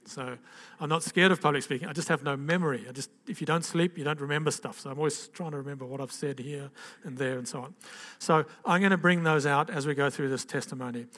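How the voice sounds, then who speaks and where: A man's voice: fairly high pitch, fast talker, fairly bright tone, big-room sound. Older man, rambling testimony. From Zambia and Zimbabwe. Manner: rambling and conversational, with restarts and "okay?" asides. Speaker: speaker giving a personal testimony. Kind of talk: informal testimony to a gathering.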